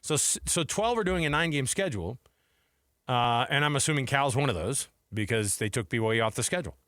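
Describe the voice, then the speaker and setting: A man's voice: medium pitch, expressive, fairly quick, fairly bright tone, no echo, studio quality. A man hosting a sports talk radio show in an informal, conversational way, talking like he is chatting with a buddy. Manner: informal, conversational, addresses listeners and co-host as man and dude. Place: radio studio.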